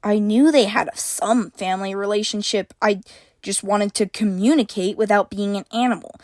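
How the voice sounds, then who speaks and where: A woman's voice: mid-range voice, fairly even intonation, moderate pace, no room sound, studio quality, lightly acted. A woman voicing a small, timid male satyr shapeshifter who whines and pleads. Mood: pleading. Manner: whiny, pleading, mumbling.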